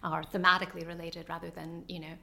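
A woman with a fairly low voice talks about her writing in a relaxed interview. She pauses a lot and fills the gaps with "um" while she thinks. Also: vocal fry. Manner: thoughtful, hesitant, many pauses and fillers. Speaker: novelist being interviewed. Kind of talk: reflective conversational interview.

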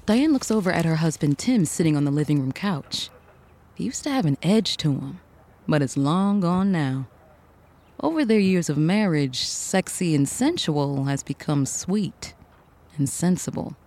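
A woman's voice: deep voice, expressive, unhurried, a little mellow. A woman reading scripted, dramatic story narration for a fiction podcast.

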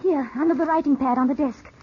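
A woman's voice: sits high, fast, close to an everyday voice. An actress playing an earnest, anxious, well-bred young woman in 1950s radio drama style. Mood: urgent, alarmed. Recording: muffled, lightly noisy old radio broadcast.

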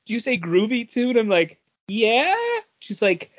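A man's voice: high voice, moderate pace, dry recording, dull audio. A man co-hosting a podcast in casual chat, telling anecdotes and acting out little exchanges as he goes. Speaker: podcast co-host.

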